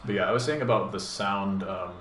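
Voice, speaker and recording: A man with a deep voice hosting a gaming channel, thinking aloud in a laid-back, rambling way with plenty of fillers while he plays. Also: brisk, a bit bright. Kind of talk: casual live gameplay commentary. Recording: echoey room with background noise.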